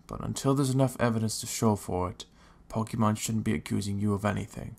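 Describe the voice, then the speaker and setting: A gravelly, fairly low-voiced Pokémon leader, played by a man, speaks with fair-minded authority in an audio drama. He is reassuring and firm, with creaky edges to the voice. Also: fairly even intonation, brisk, darkish tone, a little hiss or hum. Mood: calm, firm. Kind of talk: fan audio drama acting.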